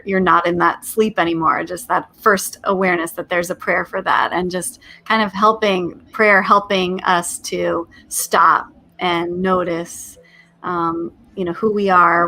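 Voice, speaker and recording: A woman, a cantor on a discussion panel, talking about prayer in a calm, reflective, gentle way. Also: on the low side, creaky, low-quality recording.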